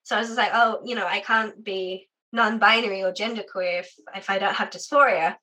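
Interview guest, young adult, from the UK, chatty with filler words, bright clear tone, sore voice. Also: female voice, medium pitch, steady tone, brisk, rough recording. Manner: chatty, frequent "like" fillers, laughs often. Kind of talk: casual interview conversation.

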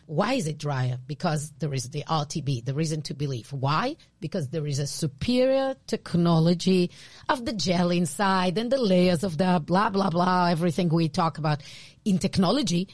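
A woman with an Israeli accent, co-hosting a podcast and explaining branding in a casual, animated way. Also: fairly low pitch, moderate pace, no echo. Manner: animated, restarts often, laughs easily. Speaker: podcast co-host, branding expert.

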